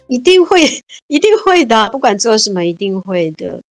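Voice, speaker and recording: A woman's voice: mid-range voice, big pitch swings, normal speed, soft background music. A woman in her fifties chatting cheerfully as an interview guest, laughing often and repeating words. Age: 50s. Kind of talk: casual interview chat.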